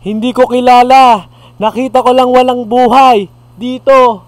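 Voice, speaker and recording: A man's voice: very high-pitched, steady tone, unhurried, no echo. A young man playing an alarmed camper, speaking urgently in an amateur student radio drama. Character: alarmed young male camper calling his buddy.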